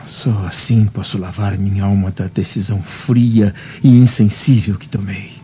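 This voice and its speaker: A man's voice: fairly low pitch, flat delivery, moderate pace, warm tone, close to an everyday voice. An actor playing a tormented, resolute man in a solemn, intense radio drama monologue. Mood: remorseful resolve. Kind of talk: dubbed radio drama acting. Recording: muffled audio with music behind.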